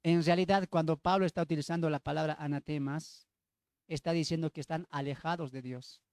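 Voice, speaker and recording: Male evangelical preacher, Bolivian accent, high pitch, emphatic teaching style full of questions, dry sound. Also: steady tone, a little fast, darkish tone, clear, resonant tone, dry recording. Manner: emphatic, repeats phrases, asks rhetorical questions. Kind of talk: sermon with Bible teaching.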